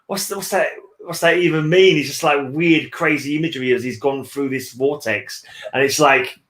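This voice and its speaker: A man's voice: on the high side, steady tone, moderate pace, fairly bright tone, echoey room. A man chatting casually as host of a book read-along, rambling and repeating phrases as he searches for words. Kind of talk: casual book discussion chat.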